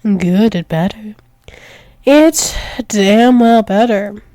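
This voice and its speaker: A woman's voice: medium pitch, dark tone, no room sound. A woman playing a dominant, doting female werewolf demon lover in an intimate ASMR roleplay, teasing and coaxing. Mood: tender, dominant. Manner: teasing, coaxing, slow repeated praise, often dropping to whispers.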